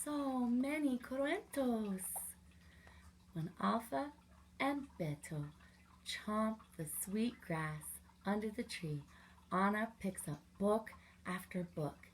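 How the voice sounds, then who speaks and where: A woman's voice: on the high side, fairly bright tone, slight background noise. A woman reading aloud to young children as a story time host, expressively and with little asides to the listeners.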